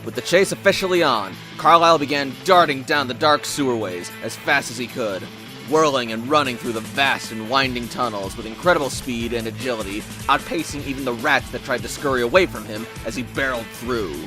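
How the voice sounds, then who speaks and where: A man's voice: mid-range voice, brisk, music in the background. A man reading scripted story narration in a theatrical, wordy storytelling manner.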